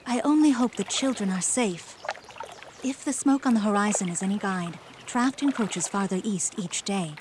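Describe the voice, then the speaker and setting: A woman's voice: medium pitch, normal speed, a little mellow, a little hiss or hum, natural voice. An actress playing a sardonic, formal queen on a quest in a fantasy audio drama. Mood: worried.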